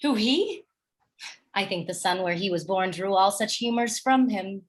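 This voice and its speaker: An actress playing a gentle, trusting young noblewoman and wife, speaking earnest, courteous lines in a Shakespearean radio play. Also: mid-range voice, animated, unhurried. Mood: earnest concern.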